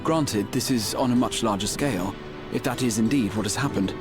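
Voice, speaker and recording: A man voicing a learned, thoughtful adventurer in a fantasy video game, with measured, analytical phrasing. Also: fairly low pitch, over music, lightly acted.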